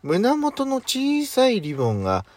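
A man playing a devoted husband in an amateur radio drama, gently teasing and flirtatious. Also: very high voice, huge pitch swings, a little slow, voice pushed up, full character voice. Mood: tender, teasing. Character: devoted husband home late from work. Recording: background music under speech.

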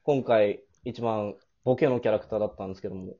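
A jovial, chatty man hosting a casual radio drama cast talk, quick to laugh. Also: fairly low pitch, steady tone, dull audio. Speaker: host.

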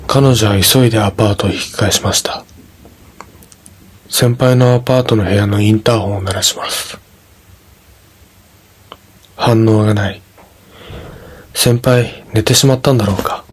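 Husky low-voiced man telling horror stories. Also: fairly even intonation, moderate pace, long pauses. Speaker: horror story narrator. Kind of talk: scripted ghost story narration.

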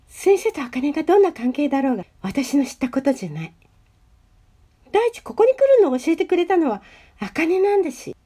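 Radio drama schoolgirl played by a woman: high-pitched, proud and easily flustered, swinging melodramatically. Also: dark tone. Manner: dramatic, tsundere-like, talks to herself. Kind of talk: amateur radio drama acting.

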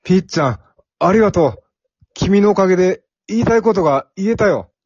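A man's voice: high-pitched, expressive, a little fast, darkish tone, breathy edge, dry recording, dull audio. A man playing a timid, earnest young office worker in an amateur radio drama, with plain boyish phrasing. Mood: grateful, amazed.

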